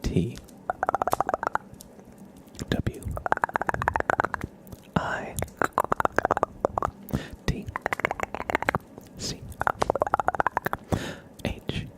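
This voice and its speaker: A man hosting an ASMR livestream in a soft, gentle, encouraging voice that often slips into whispering. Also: drawn-out words, pauses often. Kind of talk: ASMR, soft-spoken live stream with whispering.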